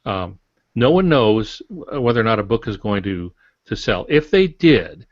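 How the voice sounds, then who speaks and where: Male author for podcast discussion: lowish pitch, plainspoken and colloquial, slightly muffled audio. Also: big pitch swings, normal speed. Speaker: author, podcast guest or panelist. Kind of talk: casual explanatory podcast talk. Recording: dull, roomy podcast audio.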